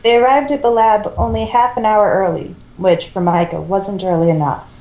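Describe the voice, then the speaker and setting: A woman reads a story aloud in a relaxed, mellow voice. Her delivery is casual and amateur, with small self-corrections, and the room echoes around her. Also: medium pitch, moderate pace. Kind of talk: reading fan fiction aloud, casual.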